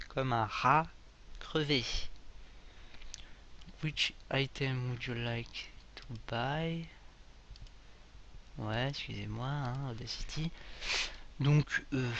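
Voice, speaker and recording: A man's voice: mid-range voice, creaky, bright, forceful tone, dull audio, a little hiss or hum. A young man casually commenting on a game as he plays, joking and reading the English on-screen text aloud. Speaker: gaming video creator. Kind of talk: casual let's play commentary.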